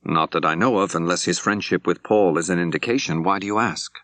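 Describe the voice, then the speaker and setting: A man voicing a level-headed law professor turned amateur sleuth in an audiobook, with direct, measured questioning. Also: low voice, fairly bright tone, no echo. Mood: probing, calm.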